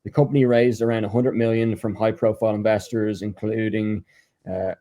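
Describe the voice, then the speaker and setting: A man's voice: lowish voice, little pitch movement, a little fast, mellow. A man hosting a podcast interview, hesitant with many fillers and restarts, reading out a guest introduction. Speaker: podcast host.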